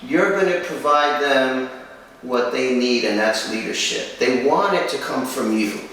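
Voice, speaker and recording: Male host, medium pitch, firm instructive delivery in short phrases, recorded very echoey and distant from the mic. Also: a little slow, fairly bright tone. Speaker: kennel owner and host. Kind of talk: presenting and interviewing for a dog training video. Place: room in a home. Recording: echoey room, distant mic, faint noise.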